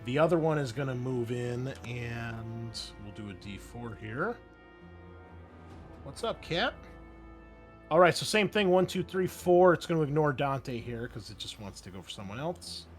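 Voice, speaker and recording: A man's voice: mid-range voice, lively intonation, a little slow. Male game master with music underneath. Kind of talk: running a tabletop roleplaying game, narrating action and rulings. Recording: music behind the voice.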